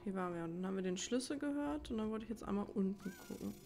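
A woman's voice: medium pitch, lively intonation, rapid-fire, gravelly, darkish tone. A woman playing a video game, thinking aloud in colloquial asides and reading game text. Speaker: let's play host. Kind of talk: casual gaming commentary with read-aloud game text.

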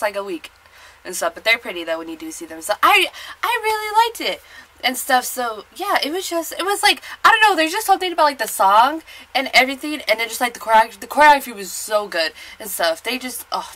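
A woman's voice: on the high side, sharp tone. A congested young woman chatting casually in a slangy, rambling way as she reacts on camera in a bedroom. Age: young adult. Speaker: reaction video vlogger. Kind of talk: casual chat, excited music video reaction. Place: small bedroom with bunk bed, indoors.

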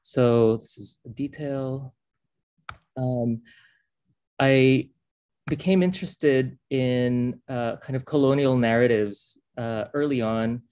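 A man from the Philippines presenting his art on a video call, hesitant with many pauses and fillers. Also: medium pitch, darkish tone. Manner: hesitant, many ums and uhs. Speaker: artist. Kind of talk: artist talk on a video call.

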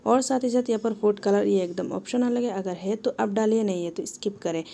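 Woman recipe host, mellow and gravelly, steady instructive voice-over with faint noise behind. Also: medium pitch, brisk. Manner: instructive, talks the viewer through each step. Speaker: cooking channel host.

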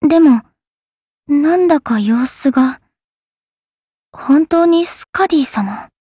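High-voiced earnest young valkyrie. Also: female voice, expressive, slow, warm tone, no room sound, studio quality. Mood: puzzled. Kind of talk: anime mobile game voice acting.

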